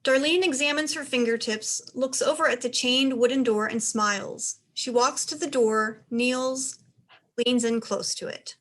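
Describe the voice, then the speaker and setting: A woman's voice: high-pitched, steady tone, measured pace, a bit bright. A woman reading stage directions as narrator for a dramatized script reading, in scripted narration.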